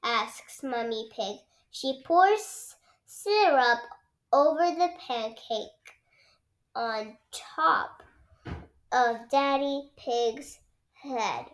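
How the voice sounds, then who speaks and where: A child reading a storybook aloud as host, halting and repeating words along the way. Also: medium pitch, fairly bright tone. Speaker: read-aloud channel host.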